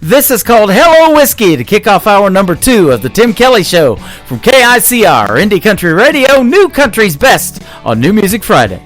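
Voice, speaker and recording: A man's voice: sits high, very animated, normal speed, fairly bright tone, faint music behind. A man hosting a radio show, chatting casually between songs with frequent fillers. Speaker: radio host.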